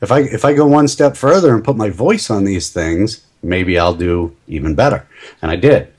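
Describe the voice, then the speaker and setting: A man's voice: lowish voice, expressive, normal speed, darkish tone, husky. A middle-aged man talking casually as a podcast guest, chatty and self-deprecating, laughing at himself often. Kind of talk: casual interview chat.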